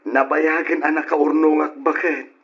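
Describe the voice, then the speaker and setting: Young ilocano guy, raspy, lovesick and anxious, muffled old radio drama. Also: a little high, flat delivery, moderate pace. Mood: longing. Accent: Filipino, Ilocano. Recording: dull narrow-band radio drama recording.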